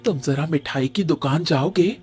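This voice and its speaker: A woman's voice: low voice, moderate pace, a little mellow, lightly acted. A woman playing a courteous, sensible farmer's wife in a cartoon moral story, speaking politely. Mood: considerate. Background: faint music.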